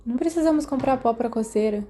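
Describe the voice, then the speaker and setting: A Brazilian woman voicing an observant, quietly inventive schoolboy with a matter-of-fact manner in a storybook. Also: a little high, fast, a little hiss or hum, lightly acted. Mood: thoughtful.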